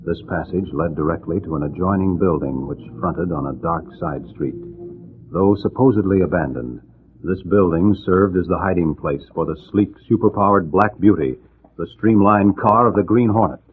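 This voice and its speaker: A man's voice: sits low, moderate pace. A man narrating a radio drama in formal, dramatic 1940s announcer style. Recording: muffled, noisy 1940s radio broadcast.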